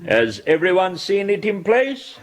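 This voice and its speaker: Anatomy professor male actor, unflappable, slow and deliberate with swooping intonation, courteous radio drama lecturing. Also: sits high, big pitch swings. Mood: matter-of-fact. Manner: deliberate, matter-of-fact.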